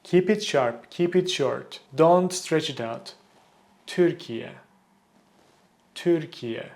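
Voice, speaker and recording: Casting: fairly high-voiced Turkish-accented teacher, clear and deliberate. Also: male voice, a little mellow. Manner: clear, deliberate, slows to model pronunciation. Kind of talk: language lesson to camera.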